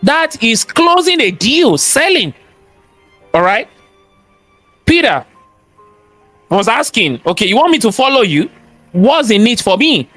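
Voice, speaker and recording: A man with a strong Nigerian accent and a high voice speaks as an interview guest. He talks in a motivational, sermon-like way, repeating key phrases for emphasis and throwing out rhetorical questions. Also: very animated, measured pace, long pauses, pushed and bright, soft background music. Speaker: guest, cybersecurity expert and entrepreneur. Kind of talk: interview conversation, motivational talk.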